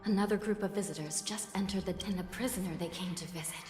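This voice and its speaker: A woman's voice: mid-range voice, fast talker, natural voice. A woman voicing a vigilant, uneasy female prison warden in dramatic video game dialogue. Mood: grave, wary. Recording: echo, game music behind.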